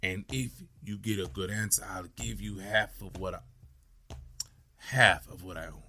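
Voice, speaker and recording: A man voicing a weary guard who barks orders, then pleads in a hushed voice. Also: sits low, fairly even intonation, unhurried, exaggerated voice. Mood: pleading. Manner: barking then hushed and secretive. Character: tired, desperate palace guard, barking then whispering.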